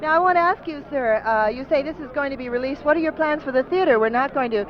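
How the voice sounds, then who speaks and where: Woman, high voice, effusive and courteous awards-event radio host on a dull 1950s recording. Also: fast talker. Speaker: radio interviewer.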